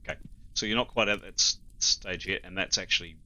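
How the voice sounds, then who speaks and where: A man running a tabletop game as game master, thinking out loud and correcting himself as he goes. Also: low voice, fairly quick, slight background noise. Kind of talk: casual tabletop game narration and adjudication.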